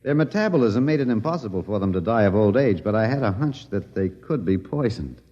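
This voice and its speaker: Male survivor of an alien invasion: low, very animated, dryly folksy, vintage radio drama sound. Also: fairly quick. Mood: wry. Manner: hesitant, folksy, dryly joking. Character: wry, weary, quietly brave male survivor of alien invasion. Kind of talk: 1950s radio drama acting. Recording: dull, reverberant old radio recording.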